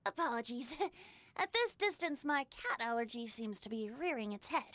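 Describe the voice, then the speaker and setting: A polite, theatrical young woman from an anime game, speaking in formal, flowery phrases. Her voice is high and bright, with lively swings in pitch. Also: moderate pace, dry recording. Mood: polite, flustered. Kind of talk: anime video game voice acting.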